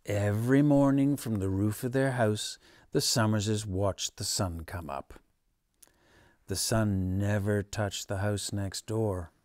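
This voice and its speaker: Unhurried, low-voiced older man reading aloud. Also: fairly even intonation, darkish tone, no room sound, clean studio sound. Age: 60s. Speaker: storybook reader. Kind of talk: expressive children's story narration. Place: living room at home, on a sofa.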